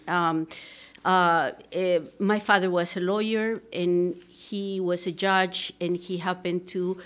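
Peruvian spanish accent lady, middle-aged, earnest radio guest, lots of uh and restarts. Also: on the low side. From Peru. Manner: earnest, reflective, many fillers and restarts. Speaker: guest, social psychologist. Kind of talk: radio interview. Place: radio studio. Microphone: studio microphone, headphones.

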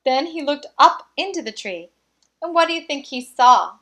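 A woman reading a children's picture book aloud, with expressive, deliberate phrasing and small asides. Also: high-pitched.

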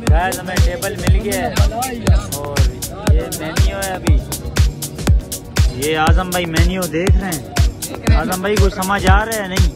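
Loud electronic music runs over this young man. He talks casually as a vlogger does, addressing viewers and friends alike. It is recorded outdoors on a phone held at arm's length. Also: high-pitched, steady tone, unhurried, pauses often. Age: 20s. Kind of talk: casual vlog chat with friends. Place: outdoors at night, street and open-air restaurant.

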